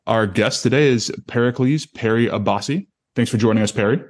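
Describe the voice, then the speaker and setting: A man's voice: mid-range voice, brisk. A man hosting a podcast in a casual, conversational interview style. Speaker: podcast host.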